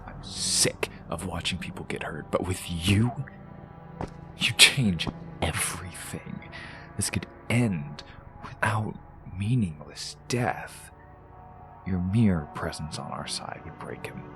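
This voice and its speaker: An actor playing a brooding, wounded rebel killer in an audio drama, persuasive and menacing, intense and often dropping toward a whisper. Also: male voice, low-pitched, expressive, slow pace, pushed and bright, soft background music, heavily acted. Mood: pleading, earnest.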